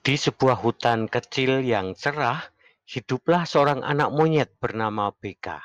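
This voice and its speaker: A dull, dry recording of a man acting as an expressive children's storybook narrator. The voice is lifted above a normal speaking pitch and reads the tale aloud from a script. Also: unhurried, dry recording, close to an everyday voice. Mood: storytelling.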